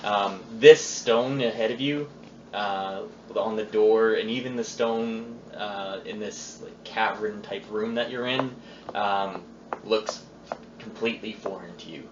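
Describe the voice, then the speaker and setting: A man running a tabletop game as game master, describing scenes off the cuff with halting pauses. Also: lowish voice. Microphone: phone recording the group. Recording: noisy muffled phone recording in echoey room.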